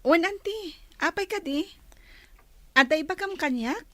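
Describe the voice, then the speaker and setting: A woman playing a soft-hearted schoolgirl in a radio drama, polite and caring in manner. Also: very high voice, animated, a little slow, no room sound, exaggerated voice. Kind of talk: Ilocano radio drama acting.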